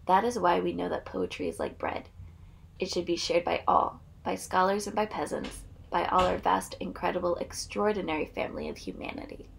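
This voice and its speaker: A Midwestern woman in her thirties talks casually to a webcam in a small home room lined with bookshelves. Her low voice carries a gravelly fry, with a faint hiss behind it. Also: expressive, brisk. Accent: American Midwestern. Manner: chatty, reads the poem aloud with care. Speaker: channel host. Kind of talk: casual vlog with a poetry reading.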